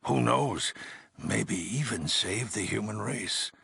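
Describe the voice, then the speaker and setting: An actor playing a weary, grave older leader of an underground vault in a video game, speaking in a measured, solemn way with heavy pauses. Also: male voice, on the low side, lively intonation, pushed and bright, no room sound, heavily acted. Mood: grave, moved. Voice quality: gravelly, husky. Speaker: overseer of a vault community. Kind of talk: dramatic video game dialogue.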